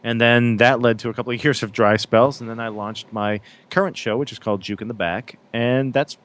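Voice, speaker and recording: A man's voice: medium pitch, steady tone, a little fast, a bit bright. A man in his thirties from Massachusetts, a radio DJ chatting as a guest in a casual interview, rambling with many fillers and restarts. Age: 30s.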